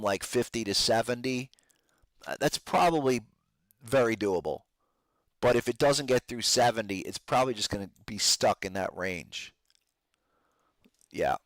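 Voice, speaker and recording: A man with a low voice that is wearing out from talking, recorded close and dry. He hosts a trading podcast, giving casual, slangy commentary on charts to his listeners. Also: dry recording. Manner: informal trader slang, addresses listeners as guys. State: losing his voice, strained from talking.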